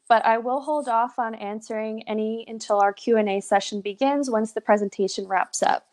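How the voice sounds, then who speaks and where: A young woman with a slightly high voice leads a live online seminar from a room at home. She speaks into her webcam mic in an upbeat, encouraging way and keeps linking her points with "so". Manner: upbeat, encouraging, with frequent "so" and "awesome". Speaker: brand representative presenting a pet nutrition webinar. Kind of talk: live webinar presentation. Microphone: webcam on a video call.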